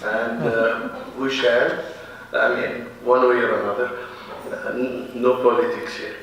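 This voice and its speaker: Halting man introducing a speaker, echoey room. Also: mid-range voice, level tone, fairly bright tone, far from the mic, slight background noise. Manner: halting, many fillers and restarts, joking. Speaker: event host introducing speaker.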